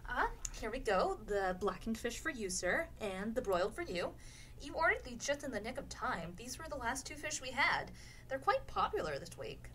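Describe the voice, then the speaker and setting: A woman plays a polite, helpful waitress in a comic radio play. Her voice is on the high side and obliging, chatty with customers. There is faint noise behind her. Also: very animated, moderate pace, fairly bright tone. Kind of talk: comedic radio play acting.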